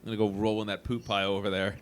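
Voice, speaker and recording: A man's voice: on the low side, flat delivery, racing, slight background noise. A man hosting a casual podcast, musing aloud with playful, jokey asides while chatting with students. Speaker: podcast host.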